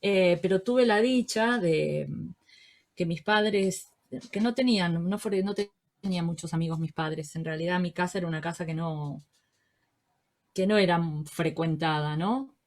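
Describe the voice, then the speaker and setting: A woman in her sixties with a porteño accent, her voice sitting very low. She speaks reflectively over a video call, hesitating and repeating words as she recalls things, and chuckles easily. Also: unhurried. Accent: Rioplatense Spanish. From Buenos Aires, Argentina. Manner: warm, reflective, with frequent fillers and repetitions. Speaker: interviewee, social worker. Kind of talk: relaxed interview conversation.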